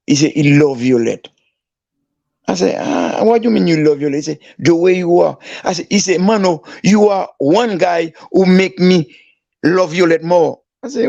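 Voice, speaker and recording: A man with a Haitian accent and a gravelly, fairly high voice tells stories as a guest. He is animated and acts out the dialogue he quotes. The recording is dry and a little dull. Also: no echo. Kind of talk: casual storytelling.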